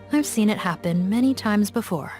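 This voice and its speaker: A woman voicing a calm, worldly mid-pitched character. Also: close to an everyday voice. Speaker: game character. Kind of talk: video game voice acting.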